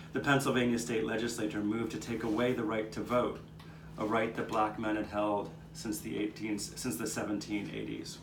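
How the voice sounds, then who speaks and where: A man's voice: lowish voice, lightly acted. A man playing a 19th-century Philadelphian, earnestly narrating memories of his neighbors' struggles, occasionally stumbling over the script. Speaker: narrator of a puppet show. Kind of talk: scripted first-person historical narration, storytelling. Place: outdoor courtyard, live performance. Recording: roomy, distant microphone, noticeable background noise.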